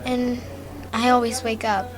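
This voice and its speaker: An actress playing an earnest, curious and worried young granddaughter in an audio drama, speaking hesitantly. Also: female voice, on the high side, level tone, a little slow, music in the background, natural voice. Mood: worried, sad. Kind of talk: full-cast audio drama acting.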